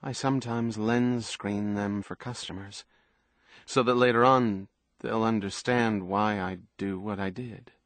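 A man's voice: lowish voice, fairly even intonation, a little slow, fairly bright tone, no echo, clean studio sound. A man voicing a troubled, needy young woman in audiobook dialogue, with an earnest, pleading manner. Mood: matter-of-fact. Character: troubled young woman, fragile, defiant, trusting, emotionally needy.

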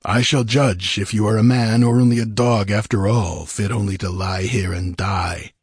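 A man's voice: lowish voice, fairly even intonation, normal speed, husky, dry recording. A man performs a proud outlaw chieftain of the desert for an adventure audiobook. He is imperious and mocking, a hard man who gives orders coolly. The recording is close and dry. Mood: cool, commanding. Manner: imperturbable, commanding, scornful. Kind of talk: dramatised audiobook adventure reading.

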